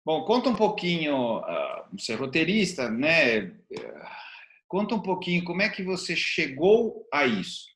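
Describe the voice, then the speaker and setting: A man's voice: a little high, very animated, measured pace, fairly bright tone, reverberant. A man with a Brazilian Portuguese accent, asking informal, colloquial questions as an interview host.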